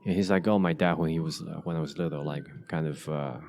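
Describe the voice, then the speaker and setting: Low-voiced halting man. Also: fairly even intonation, a little mellow, slight background noise. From Asia. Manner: halting, many restarts and fillers. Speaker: podcast host. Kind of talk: casual conversation.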